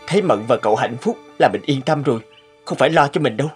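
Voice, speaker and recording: A man voicing a sad, self-sacrificing soldier back from war in a cartoon, halting and earnest. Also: medium pitch, animated, close to an everyday voice. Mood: resigned. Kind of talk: Vietnamese cartoon voice acting. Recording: music behind the voice.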